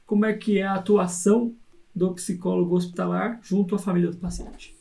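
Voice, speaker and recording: A man with a high, mellow voice and a gravelly fry underneath. He hosts a conversational podcast, asking his questions calmly and often answering with a brief word of agreement. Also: a little fast. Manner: frequent short agreeing replies. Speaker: podcast host. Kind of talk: conversational interview.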